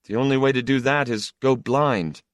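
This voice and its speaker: Casting: male reader as a troubled adult son in a literary story, higher-pitched, weary and bitter, lively inflection. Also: unhurried, no room sound, studio quality. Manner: stammering, bitter. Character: troubled, guilt-ridden, weary grown son.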